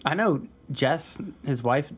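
Very deep, husky woman. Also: animated, slow. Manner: dry teasing humor. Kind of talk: casual chat.

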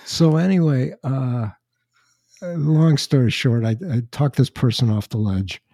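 An older man with a slightly raspy voice, chatting casually as a podcast host and telling rambling anecdotes with dry asides. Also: medium pitch, unhurried, dark tone, no echo. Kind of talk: casual chat, storytelling.

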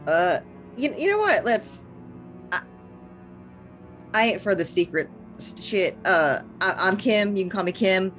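A woman's voice: medium pitch, animated, unhurried, long pauses, a bit bright, faint music behind. A woman voicing a brash female military lieutenant with a Texas drawl, blunt and folksy. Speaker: guest player.

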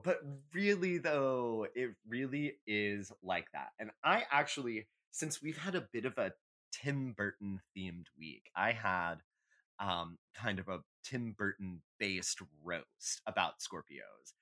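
Animated, bright-voiced man chatting sassily. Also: on the low side, slow pace. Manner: sassy, opinionated, freely swearing. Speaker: podcast co-host. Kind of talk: casual comedic astrology chat.

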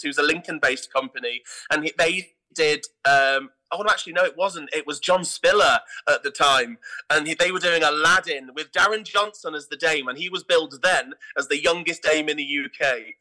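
A middle-aged man, telling stories casually as an interview guest, in an animated way. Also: on the high side, moderate pace, poor audio. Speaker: theatre artistic director, podcast guest.

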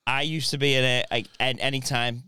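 A man cohosting a podcast, chatting casually, laughing often and talking in quick bursts. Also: medium pitch, fairly even intonation, sharp tone, clear, resonant tone, dry recording. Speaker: podcast co-host.

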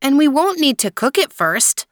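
A woman's voice: high-pitched, very animated, moderate pace, no room sound, studio quality, pitched above the performer's own voice. A woman voicing a clever, eager young boy explaining his plan in a children's story. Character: clever, eager little boy, the emperor's son.

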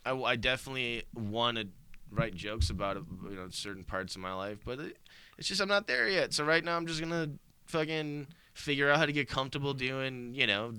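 Bright, loose-talking comedian guest. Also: male voice, medium pitch, fairly quick, clean tone, no echo. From Bosnia and Herzegovina. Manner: rambling, profane, laughs often, many restarts. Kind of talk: casual podcast chat.

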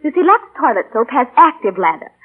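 Chatty friendly houseguest, husky, 1940s radio commercial acting, muffled broadcast recording. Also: female voice, high-pitched, big pitch swings, brisk, full character voice. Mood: bright, confiding. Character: friendly, tired visiting woman in soap advert.

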